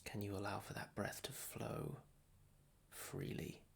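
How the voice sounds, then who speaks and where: A man leading a guided meditation as a teacher, soothing and unhurried, leaving long pauses between short phrases. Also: on the low side, creaky, husky. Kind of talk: guided meditation, calm instruction.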